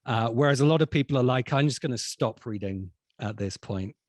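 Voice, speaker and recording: Male expert guest, medium pitch, thoughtful and slightly halting conversational explainer, dry recording with no room. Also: a little mellow, no echo. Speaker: interviewed science fiction expert.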